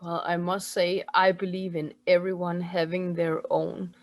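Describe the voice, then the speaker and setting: Danish woman, 40s, medium talking on a video call, lowish voice, dry close sound. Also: little pitch movement, measured pace, no echo. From Denmark. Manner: earnest, laughs often. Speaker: medium and energy healer. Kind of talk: casual interview over video call. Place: indoors at home.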